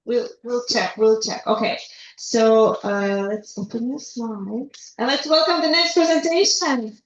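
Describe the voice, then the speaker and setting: A woman moderating a conference, briskly introducing the next team. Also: a little high. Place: conference hall. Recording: echoey hall, muffled mic.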